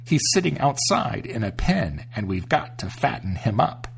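A man voicing a scrawny, wicked old witch with a shrill, slow and sly way of speaking. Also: big pitch swings, gravelly.

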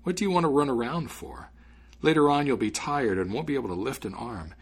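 A man voicing a practical older father in a storybook, chiding his son in an unhurried way. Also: medium pitch, breathy edge, voice pushed up. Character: practical, scolding older father, a poor woodcutter.

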